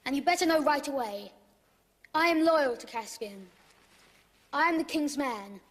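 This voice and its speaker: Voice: high-pitched, animated, moderate pace. A performer playing an earnest, loyal schoolboy adventurer who speaks up forthrightly in an audio drama. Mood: defiant. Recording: long cavernous reverb.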